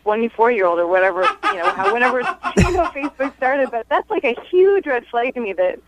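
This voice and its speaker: A woman's voice: sits high, very animated, brisk, vocal fry. A woman in her thirties calling a radio show, telling her story casually and emphatically with lots of "like" and some disbelief. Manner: incredulous, emphatic, heavy use of "like". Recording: dull telephone line on radio.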